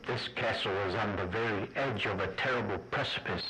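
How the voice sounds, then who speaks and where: A man playing an uneasy traveller who narrates his captivity in a radio drama, with deliberate, suspenseful phrasing. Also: on the low side, bright, forceful tone, faint music behind, rough recording. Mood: trapped.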